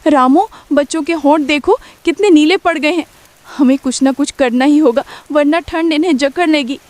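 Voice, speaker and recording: A woman's voice: sits high, normal speed, darkish tone, no echo, close to an everyday voice. A woman playing a worried, caring poor village mother in a Hindi cartoon story, earnestly instructing and protecting her family. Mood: worried, urgent.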